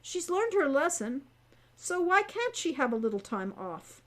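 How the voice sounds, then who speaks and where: A woman's voice: high-pitched, big pitch swings, unhurried, a bit bright, pitched above the performer's own voice, exaggerated voice. A woman voicing a bold teenage adventurer girl in a storybook, arguing in a casual, needling way.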